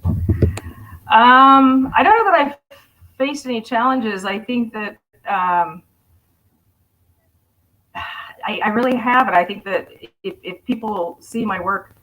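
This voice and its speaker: A woman's voice: mid-range voice, slow pace, long pauses, a bit bright, poor audio. A woman who runs a landscaping business, speaking frankly on a video call panel with some fillers. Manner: frank, plain-spoken, with fillers. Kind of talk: casual panel discussion.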